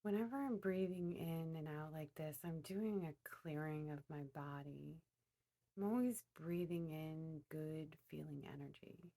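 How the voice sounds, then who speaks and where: A woman with a fairly low voice guides listeners through a meditation. She speaks deliberately, often pausing partway through a phrase before carrying on. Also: dark tone.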